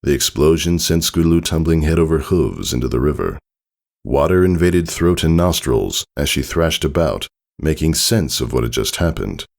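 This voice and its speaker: A man narrating a scripted story dramatically, in a solemn and measured manner. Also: rumbling low, lively intonation, vocal fry. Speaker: fan fiction narrator. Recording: soft music behind the voice.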